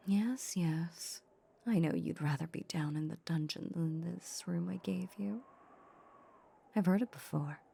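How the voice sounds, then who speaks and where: A woman playing a seductive, possessive female vampire in an intimate roleplay audio drama, teasing and condescending in a breathy half-whisper. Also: fairly low pitch, full character voice. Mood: amused, smug. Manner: slow, teasing, condescending purr. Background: soft ambient sound effects.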